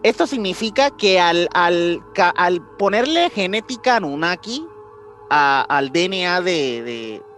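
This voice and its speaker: High-pitched Mexican man hosting a podcast. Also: sing-song, unhurried, fairly bright tone, soft background music. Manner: informal, slangy, often searching for words. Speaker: podcast host. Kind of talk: casual podcast storytelling, part scripted.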